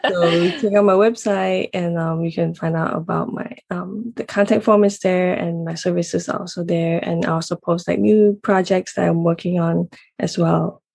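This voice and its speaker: A woman from Malaysia with a Malaysian accent, talking casually and haltingly as an interview guest. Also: lowish voice, fairly even intonation, vocal fry, darkish tone, breathy edge, dry recording. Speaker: podcast guest, consultant.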